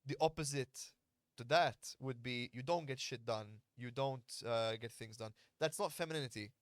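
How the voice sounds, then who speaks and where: A man's voice: mid-range voice, moderate pace, no echo, studio quality. A man, a podcast host and fight coach, talking frankly and at length in casual conversation and swearing freely. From Malta. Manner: blunt, earnest, explains at length, swears freely.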